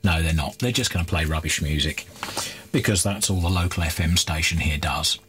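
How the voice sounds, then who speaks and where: Deep-voiced man chatting at his workbench. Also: brisk, fairly bright tone, faint music behind. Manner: chatty, rambling with fillers and restarts. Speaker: electronics repair vlogger. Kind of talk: casual workbench vlog. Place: cluttered electronics workbench indoors.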